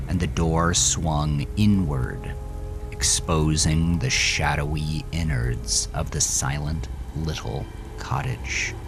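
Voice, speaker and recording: Deep, slow man telling a horror story. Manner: intense, dramatic delivery dropping into whispers. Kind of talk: scripted horror narration.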